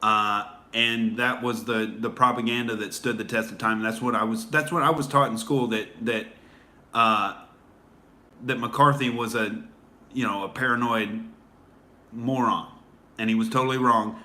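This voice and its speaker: A man's voice: medium pitch, steady tone, measured pace, pushed and bright. A man hosting a casual livestream, rambling with many fillers and restarts while answering viewer comments. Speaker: livestream host.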